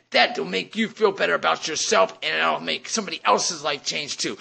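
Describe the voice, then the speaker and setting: Forceful, gravelly motivational man. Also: fairly high pitch. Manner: emphatic, preacher-like repetition. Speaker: motivational speaker and online business mentor. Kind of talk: impromptu motivational speech.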